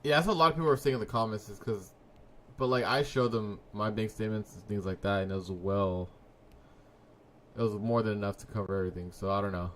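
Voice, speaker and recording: A man in his early twenties hosting a live trading stream, chatting casually with viewers in a laid-back, slangy way and letting his sentences trail off quietly. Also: fairly low pitch, fairly even intonation, quick pace, slight background noise. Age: 20s. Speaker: livestream trading host. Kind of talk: casual live-stream chat reading comments.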